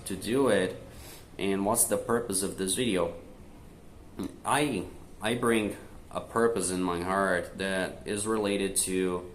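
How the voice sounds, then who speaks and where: A man's voice: lowish voice, slight background noise. A young Brazilian man vlogging language-learning tips in a chatty, casual way, speaking English with a Brazilian accent in parts. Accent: Brazilian Portuguese. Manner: chatty, fillers like né and tá, switches to slow careful English. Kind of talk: casual direct-to-camera tips.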